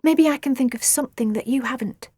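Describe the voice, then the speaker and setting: A high, close and dry voice: a woman narrator playing a playful storybook babysitter who schemes to frighten. Her delivery is melodramatic and wheedling, full of exclamation. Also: fairly quick, warm tone, husky, no room sound. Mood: polite.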